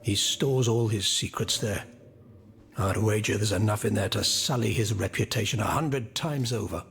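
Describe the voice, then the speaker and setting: An actor playing an anguished, proud former royal minister in a video game, formal and wordy. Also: male voice, on the low side, moderate pace, vocal fry, fairly bright tone, a little hiss or hum. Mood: indignant, proud. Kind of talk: video game cutscene acting.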